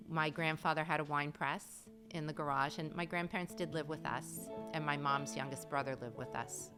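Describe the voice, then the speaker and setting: A woman calmly and fluently recounting her family's traditions in an interview. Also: sits low. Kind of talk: recollection in an interview.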